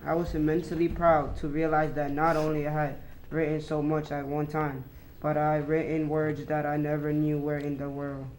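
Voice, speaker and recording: Young teenage boy, fairly high, flat delivery, student dramatic reading, faint noise. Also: moderate pace, rough recording. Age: young teen, middle school student. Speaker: student reader.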